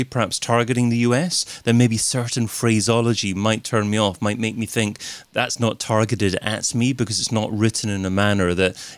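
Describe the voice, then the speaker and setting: Male podcast host from the UK, low-pitched, thinks aloud between questions, dry recording. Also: no room sound. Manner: thinking aloud, with ums and restarts. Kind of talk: interview questions.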